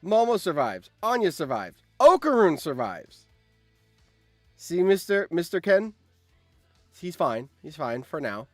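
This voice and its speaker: A man live-streaming as host, reading out game results with animated, excitable commentary and surprised exclamations. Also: on the high side, unhurried, pauses often, no room sound, studio quality, faint music behind.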